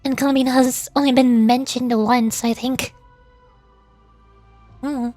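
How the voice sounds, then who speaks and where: Female VTuber, high voice, loose rambling chat with viewers, dry sound over faint music. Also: fairly even intonation, unhurried, dark tone, no echo. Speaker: VTuber game streamer. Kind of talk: casual livestream commentary.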